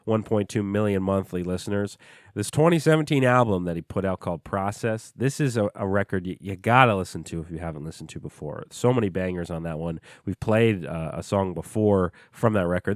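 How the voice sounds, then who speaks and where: Guy with a cold hosting a music show, kinda low voice, stuffy nose, dry close sound, lots of uhs. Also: fairly quick, no room sound. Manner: relaxed, chatty, filler-heavy. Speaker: podcast host. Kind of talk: casual music show chat.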